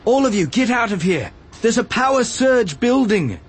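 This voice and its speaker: A man voicing an adult male warrior, commanding and desperate, shouting urgently in a dramatised audiobook. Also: extremely high pitch, sing-song, moderate pace, slight background noise, pitched above the performer's own voice.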